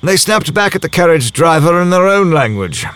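Man playing a lively, wry diplomat-spy narrator. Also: on the high side, normal speed, clean tone, no echo. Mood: wry, composed. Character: worldly, wry male diplomat and spy recounting events. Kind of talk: first-person audio drama narration.

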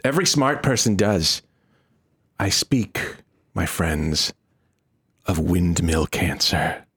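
A man's voice: sits low, lively intonation, slow pace, fairly bright tone, breathy edge. A man hosting a podcast in a playful way, sometimes slipping into mock-dramatic speech. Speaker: podcast host. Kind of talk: casual podcast chat.

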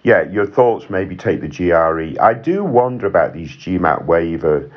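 A man co-hosting an advisory podcast, speaking in a measured way with frequent ums and restarts. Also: deep voice, very animated, lo-fi sound. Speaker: admissions consultant co-host.

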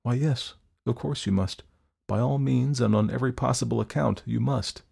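A man's voice: mid-range voice, normal speed, vocal fry, breathy edge, voice pushed up. Man playing a cool, cynical young villain. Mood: businesslike pressure. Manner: calm, controlled, coaxing and worldly. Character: cool, cynical young doctor, a persuasive murderer.

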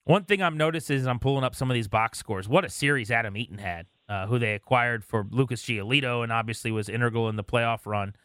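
Bright-voiced American male sports host. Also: mid-range voice, quick pace, few pauses, clean tone, dry recording, clean studio sound. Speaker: sports talk show host. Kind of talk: casual sports chat.

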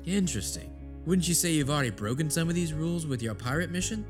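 A man's voice: on the high side, expressive, fast talker, over music, close to an everyday voice. A man acting in an audio drama as an inquisitive, skeptical senator with a pointed, questioning manner.

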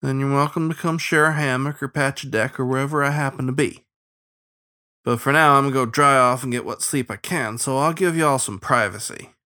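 A man's voice: mid-range voice, sharp tone, voice pushed up, close to an everyday voice. A man voicing an easygoing, protective otter riverboat captain in a storybook, with folksy, rustic talk. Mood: kindly. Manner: folksy, unhurried, rustic grammar.

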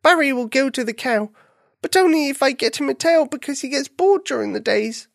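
A woman voicing a small, earnest young male bunny in a children's story, anxious and rushed, with a pleading manner. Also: sits high, lively intonation, vocal fry, dry recording, clean studio sound, voice pushed up. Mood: wailing, upset.

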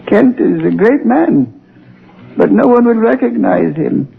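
An actor playing a crazed, vengeful and servile old watchman in a 1930s radio thriller, halting and given to cackling. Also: high voice, animated, vocal fry, breathy edge, exaggerated voice. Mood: bitter, gloating. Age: older. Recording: muffled 1930s radio recording.

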